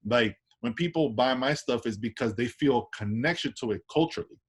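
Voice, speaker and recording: New york guy chatting in an interview, mid pitch, keeps saying right?, restarts a lot. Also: fairly quick, slightly rough. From Washington Heights, New York. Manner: loose, tag questions, many restarts. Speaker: artist guest. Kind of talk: casual interview chat.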